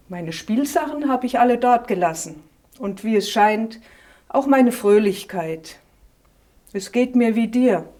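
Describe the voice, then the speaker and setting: Serious wise traveler, played by a woman: unhurried, gentle, earnest. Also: medium pitch, natural voice. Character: once cheerful wise traveler, now serious. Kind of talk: amateur church nativity play.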